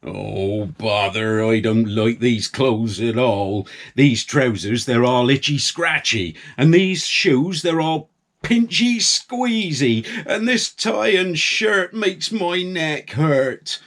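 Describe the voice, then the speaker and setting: A man's voice: medium pitch, takes it slowly, fairly bright tone, pitched above the performer's own voice. A man voicing a grumbling farmer in a children's story, whining and sulky as he complains. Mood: whiny. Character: grumbling, whiny farmer who hates dressing up.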